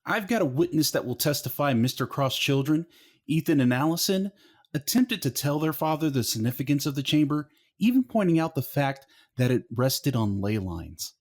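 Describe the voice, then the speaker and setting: A smug, Santa-like old attorney argues in an audio drama. His formal delivery is lively, with broad swings in pitch. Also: moderate pace. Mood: smug, reproving.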